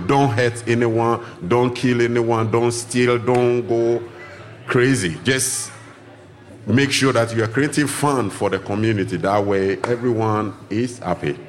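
A man's voice: fairly even intonation, unhurried. A man from Ghana who teaches drumming, answering radio interview questions in loose, searching phrases full of restarts. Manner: loose, searching phrasing with restarts, acts out greetings in high voices. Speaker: drumming teacher and tour guide.

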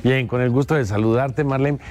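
A man's voice: mid-range voice, a little fast, darkish tone. A man hosting a casual radio chat, friendly and loose, with many fillers and restarts. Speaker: host.

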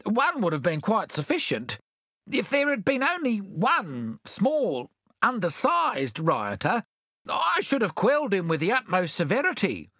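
A timid, nervous constable speaks in a high, tremulous voice, as a man puts him on. The pitch wanders widely, and the sound is dry. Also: huge pitch swings, measured pace, sharp tone, breathy edge, dry recording, exaggerated voice. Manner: tremulous, stammering.

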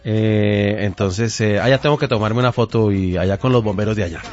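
A man's voice: on the low side, brisk. A man hosting a radio interview, chatty and rambling with many hesitations. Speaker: radio host. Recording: dull lo-fi radio audio, faint music.

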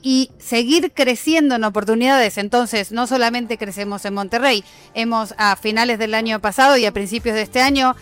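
Mujer ejecutiva de voz aguda, pausada. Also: female voice, fairly high pitch, fairly bright tone. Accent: Mexican Spanish with a foreign tinge. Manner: fluent, measured, with fillers and restarts. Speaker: airline sales director, guest. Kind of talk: business interview.

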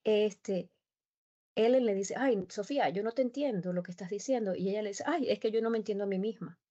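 Animated Spanish-speaking woman, mellow, muffled. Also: mid-range voice, a little fast. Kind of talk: conversational interview.

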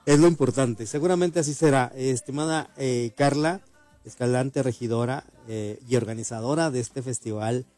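A man's voice: medium pitch, moderate pace, warm tone. A man from Ciudad Juárez, hosting a radio interview in an easygoing way. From Ciudad Juárez, Mexico. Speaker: radio host.

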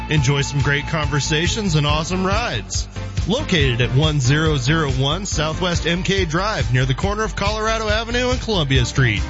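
A man reading an upbeat radio advertisement with enthusiastic announcing. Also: high voice. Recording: lo-fi radio audio with music bed.

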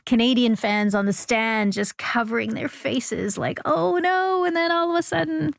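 A woman hosts a live radio show from the studio, chatty and bantering with her colleagues. Her voice sits fairly high. The sound is dry and close. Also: moderate pace, creaky, no room sound. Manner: warm and chatty, laughs easily. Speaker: radio host. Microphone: studio microphone.

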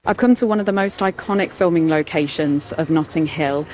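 A British woman reports from the field in a slightly low voice. She explains the scene as a radio producer would and puts questions to people. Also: a little fast, darkish tone, slight background noise. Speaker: senior producer reporting on location. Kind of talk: on-location radio reporting with interviews.

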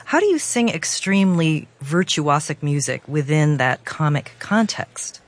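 A woman's voice: sits low, lively intonation, a little slow, no room sound. A woman hosting a radio broadcast, moving between scripted links and interview questions.

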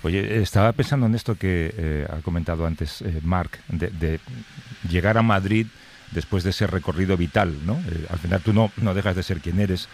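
A man hosts a radio panel conversation. He talks hesitantly and fills his pauses with "eh". A faint hum of room ambience sits behind him. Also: sits low, a little mellow. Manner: hesitant, many fillers. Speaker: host.

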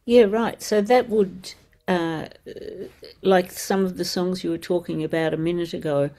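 A woman hosting a radio music show, warmly enthusiastic as she talks with her guests. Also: on the low side, fairly even intonation, unhurried. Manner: enthusiastic, encouraging. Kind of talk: radio interview.

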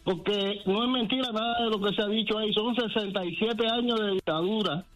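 High-voiced Cuban man calling in, music behind. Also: a little fast, a bit bright, low-quality recording. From Cuba. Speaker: radio show caller. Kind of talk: impassioned opinion on a call-in show.

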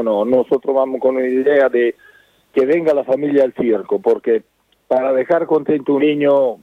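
A circus manager with an Italian accent, speaking in a halting, repetitive, emphatic way in a radio interview. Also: male voice, medium pitch, dry recording. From born in Italy. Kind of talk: radio interview over the phone. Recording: tinny dry phone line.

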